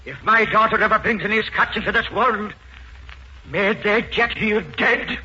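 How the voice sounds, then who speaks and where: A man's voice: high-pitched, measured pace, pushed and bright, slightly rough, exaggerated voice. An actor playing a bitter, wrathful old Irish father in an impassioned, declamatory 1940s radio style. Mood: bitter, cursing. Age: older. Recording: muffled, noisy 1940s radio recording.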